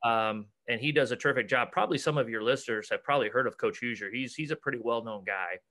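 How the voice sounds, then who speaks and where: Middle-aged American male coach, forceful bright tone, conversational, stop-and-start delivery with repeated words. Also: medium pitch, fast talker. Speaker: basketball coach and clinic organizer. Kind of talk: casual video-call interview. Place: cluttered room at home, on a video call. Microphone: video call.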